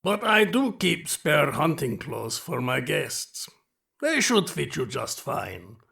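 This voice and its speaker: Gravelly, courtly alien aristocrat. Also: male voice, fairly high pitch, very animated, bright, forceful tone, exaggerated voice. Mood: gracious. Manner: formal, courtly, deliberate, theatrical. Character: towering male reptilian alien aristocrat, genteel, sinister hunting host. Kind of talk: space adventure audio drama acting.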